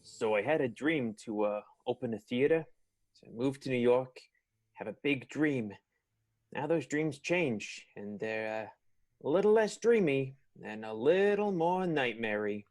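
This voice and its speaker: A man playing a disillusioned theater manager, halting and wistful, in an improvised radio soap opera. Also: mid-range voice, lively intonation, a little mellow, close to an everyday voice. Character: weary, disillusioned male theater manager with soured dreams.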